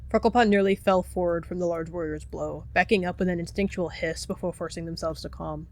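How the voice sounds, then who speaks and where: A woman's voice: on the low side, fairly even intonation, brisk, a little mellow. A woman reading scripted story narration for an animal fantasy roleplay.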